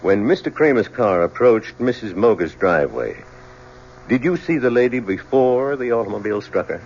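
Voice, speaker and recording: An actor in an old-time radio drama playing a stern, formal coroner presiding over an inquest in a deliberate, official manner. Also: male voice, fairly low pitch, very animated, a little mellow. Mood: probing. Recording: muffled old radio recording, faint noise.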